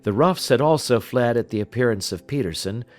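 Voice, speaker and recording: A man voicing a confident, analytical gentleman detective in an audiobook, speaking in a precise, measured, lecturing manner. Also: mid-range voice, animated, faint music behind, natural voice. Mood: matter-of-fact recounting. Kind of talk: audiobook character dialogue.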